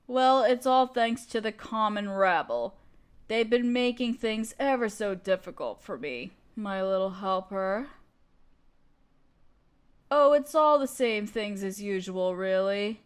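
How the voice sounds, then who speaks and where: A woman playing a spoiled, haughty evil queen in a scripted audio roleplay, complaining pompously and theatrically to her servant. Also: a little high. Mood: indignant, exasperated. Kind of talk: scripted roleplay monologue to the listener.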